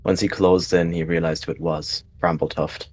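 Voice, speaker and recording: A man's voice: low voice, flat delivery, normal speed, darkish tone, slight background noise. A man giving dramatic third-person narration of his character in a roleplayed story.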